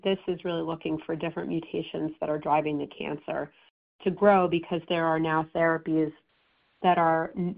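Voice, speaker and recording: Hoarse woman doctor on a tinny line. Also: deep voice. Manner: informative, many ums and restarts. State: hoarse, recovering. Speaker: breast oncologist presenting. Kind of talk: medical presentation to patients. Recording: tinny phone-line audio.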